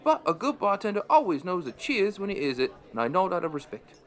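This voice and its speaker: A man's voice: sits high, sing-song, fairly quick, a bit bright. An actor playing a wry, chatty pub landlord, narrating his story in a conversational way for an audio drama. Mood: curious.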